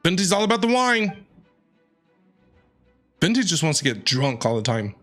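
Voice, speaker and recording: A man commentating over a video game as he plays, excitable and sarcastic. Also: a little high, normal speed. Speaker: gaming streamer. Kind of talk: let's-play commentary. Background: game music.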